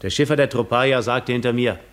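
A man's voice: mid-range voice, fast, lightly acted. An actor playing a pensive seafaring man who tells his own story in a 1950s radio drama. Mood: reflective.

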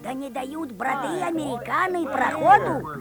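High, sing-song female folk storyteller. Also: normal speed. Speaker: co-narrator. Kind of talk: folksy comic fairy-tale storytelling in rhyme. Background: music.

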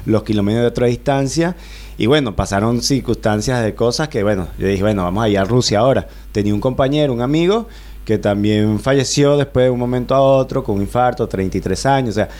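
A Venezuelan man in his thirties, sitting as a guest in a radio studio. He talks in a loose, rambling way, circling back, restarting phrases and filling pauses as he tells his travel story. His voice sits at an ordinary middle pitch. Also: a little mellow. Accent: Venezuelan Spanish. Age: 30s. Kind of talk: relaxed radio interview.

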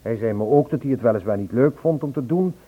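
A man's voice: medium pitch, expressive, quick pace. An actor playing an anxious, insistent man searching for a missing woman in a Dutch radio play. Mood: troubled recounting. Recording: husky, phone-like old radio play recording.

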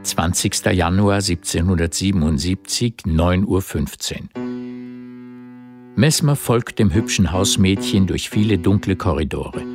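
A man's voice: low-pitched, measured pace, music in the background. A man narrating a literary radio drama in scripted, present-tense prose.

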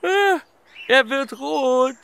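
A mocking village boy in an audio drama, high-voiced and sharply bright. He jeers slowly and brashly, with lively swoops. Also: creaky, slight background noise, heavily acted. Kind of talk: German audio drama acting.

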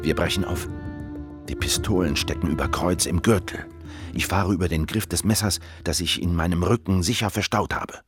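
An actor playing a hunted, watchful revolutionary who narrates a radio drama in clipped sentences. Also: male voice, deep voice, moderate pace, natural voice. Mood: tense, terse. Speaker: narrator and protagonist. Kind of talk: literary radio drama, present-tense scripted narration. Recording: music behind the voice.

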